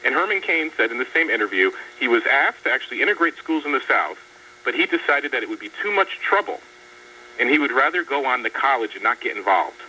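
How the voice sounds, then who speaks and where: A man's voice: medium pitch, sing-song, fast talker, pushed and bright. A man giving political commentary as a radio guest, articulate, sardonic and incredulous. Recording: dull, distant radio audio with faint hum.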